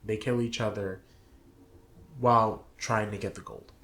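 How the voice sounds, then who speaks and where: A man co-hosting a casual review discussion, thinking aloud in a halting way. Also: lowish voice, steady tone, fairly bright tone. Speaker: show co-host. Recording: roomy, echoing sound.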